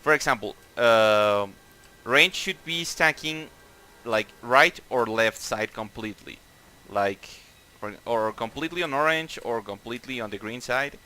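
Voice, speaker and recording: Bright-voiced Mexican-accented man, raid leader. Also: mid-range voice, lively intonation, a little slow. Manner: casual, profane, many fillers and restarts. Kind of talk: explaining boss tactics over voice chat.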